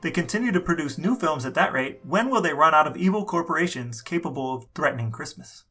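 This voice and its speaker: A man's voice: on the high side, animated, quick pace, sharp tone, faint music behind. A man co-hosting a podcast, putting questions in a casual interview style.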